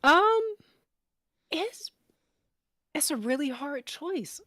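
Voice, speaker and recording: A woman's voice: sits high, big pitch swings, very slow, sharp tone, dry recording, clean studio sound. A woman guest chatting casually, thinking aloud in a hesitant, teasing way. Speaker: guest on an audio drama after-show. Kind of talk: casual chat.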